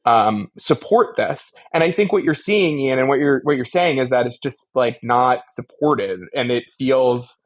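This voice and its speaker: A man with a middle-pitched voice discusses media as a podcast co-host. He is articulate and analytical, stressing his points as he builds them out at length in conversational language. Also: unhurried, few pauses. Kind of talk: casual media discussion and critique.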